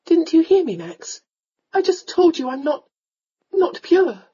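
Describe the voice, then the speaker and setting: A woman's voice: very high-pitched, very animated, unhurried, dark tone, lo-fi sound, voice pushed up, heavily acted. A woman voicing a proud, emotional young Regency lady in a romance audiobook, earnest and pleading. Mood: anguished, pleading.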